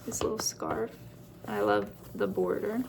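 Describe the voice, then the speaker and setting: A woman's voice: medium pitch, very animated, measured pace, creaky, background noise. A woman chatting casually through a craft show-and-tell as host, in a rambling way with self-deprecating asides.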